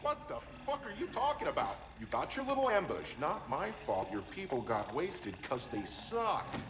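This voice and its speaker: An actor playing a cocky, sarcastic hacker in a cyberpunk video game, with a brash, slangy way of talking. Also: male voice, on the high side, wildly swooping pitch, moderate pace, over music. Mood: cocky, teasing. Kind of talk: video game character acting. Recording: noisy ambient background.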